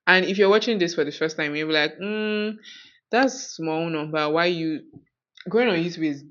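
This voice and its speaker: A woman's voice: lowish voice, normal speed, lo-fi sound. A woman with a Nigerian accent hosting a podcast, talking casually and outspokenly with rhetorical questions. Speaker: podcast host. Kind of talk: casual conversational podcast talk.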